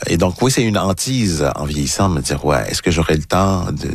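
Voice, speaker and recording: Fifties guy, really deep voice, thoughtful radio chat, tells little stories, dry studio sound. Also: lively intonation, slightly rough, dry recording. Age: 50s. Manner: thoughtful, anecdotal, measured. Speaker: radio commentator and writer. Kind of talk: reflective radio conversation. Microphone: studio microphone.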